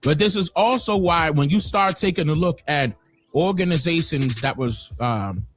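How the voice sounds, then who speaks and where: A man hosting a livestream, giving emphatic opinionated commentary that swings from loud exclamations to low muttering. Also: moderate pace, soft background music. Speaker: livestream host.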